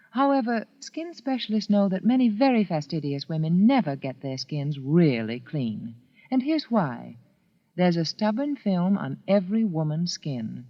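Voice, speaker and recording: Lively vintage radio spokeswoman. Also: on the low side. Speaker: advertising spokeswoman. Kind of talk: 1940s radio commercial, scripted pitch. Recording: old muffled radio recording.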